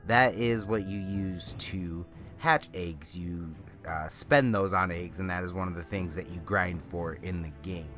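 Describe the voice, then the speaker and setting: Deep-voiced, slightly congested man. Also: a little slow, fairly bright tone. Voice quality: slightly nasal from a cold. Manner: rambling, self-correcting explanations. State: congested with a lingering cold. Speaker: gaming channel host. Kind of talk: casual game walkthrough commentary. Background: faint music.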